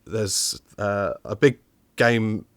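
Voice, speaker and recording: A man's voice: on the low side, very slow, a bit bright, no echo. A young man from just outside southwest London, with a southern English accent, chatting casually and upbeat as a guest. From England, outside southwest London. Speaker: guest, technical audio designer. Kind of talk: casual interview chat.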